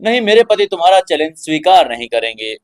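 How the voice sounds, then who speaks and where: Man voicing a haughty, scornful wife, higher pitched. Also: very animated, fast. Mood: cold disdain. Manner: mocking, cold. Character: haughty, scornful doctor's wife, adult woman.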